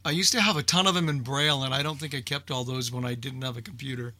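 A man's voice: medium pitch, steady tone, quick pace, bright, forceful tone, no room sound. A man chatting casually in a group as a radio show host, talkative and folksy in his exclamations.

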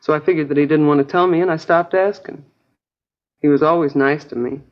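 A woman's voice: low voice, fairly even intonation, fairly quick, natural voice. An actress playing a chatty, naive American girlfriend in a 1950s radio crime drama, casual, rambling and a little vague. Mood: puzzled, open. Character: chatty, naive, easygoing working-class girlfriend of a crook. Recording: dull old radio broadcast recording.

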